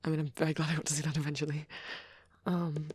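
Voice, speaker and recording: A woman rambling softly in an ASMR style, with a shy, hesitant, breathy delivery that often slips into a half-whisper, close to the microphone. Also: sits low, flat delivery, quick pace, a little hiss or hum. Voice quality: soft, breathy. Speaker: ASMR roleplay creator. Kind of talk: soft rambling ASMR chat.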